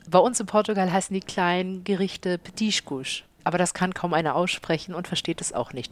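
A woman in her thirties with a Hamburg accent, a chef and restaurant owner answering interview questions with lively enthusiasm. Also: low-pitched. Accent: Hamburg German. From Hamburg, Germany.

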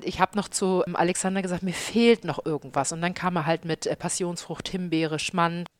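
Woman in her 30s, Hamburg accent, chef, slightly low voice, eager and chatty in interview. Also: a little fast. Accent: Hamburg German. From Hamburg, Germany. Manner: lively, enthusiastic storyteller. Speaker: chef and restaurant owner. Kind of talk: casual interview answers.

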